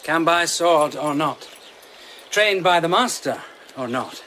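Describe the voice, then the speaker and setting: An actor playing a playful, unafraid trickster and court favourite, politely teasing and taunting in a radio drama. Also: male voice, on the high side, animated, slow, sharp tone, slight background noise, exaggerated voice. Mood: calm, provoking.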